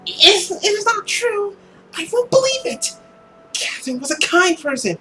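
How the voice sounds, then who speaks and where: A man's voice: very high-pitched, big pitch swings, a little slow, pushed and bright, faint music behind, voice pushed up, full character voice. A man voicing an earnest, troubled young woman in a visual novel, insistent and accusing. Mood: shaken, denying. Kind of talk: visual novel character acting.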